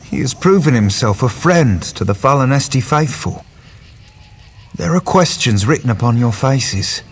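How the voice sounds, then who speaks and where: A male actor playing a solemn, peaceable pilgrim in a fantasy game, speaking formally and gravely. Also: medium pitch, expressive, unhurried, slight background noise. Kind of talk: video game quest dialogue.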